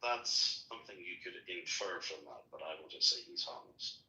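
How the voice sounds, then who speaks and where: A man taking part in a casual discussion, speaking in a dry, wry and measured way. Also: low-pitched, animated, sharp tone, reverberant, rough recording. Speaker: participant in an online discussion.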